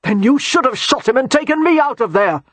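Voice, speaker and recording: A man voicing a bitter, traumatized male ex-soldier who shouts his accusations in a strained, tearful voice. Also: high-pitched, normal speed, slightly rough, no room sound. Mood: furious. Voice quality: strained, near tears.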